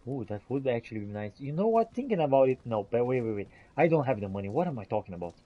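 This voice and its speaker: A man plays a game in character, talking aloud to the people in it with theatrical flair. His voice is husky, at an ordinary male pitch. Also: very animated, fast talker, highs cut off. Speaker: player roleplaying a game character. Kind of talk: in-character roleplay gameplay commentary.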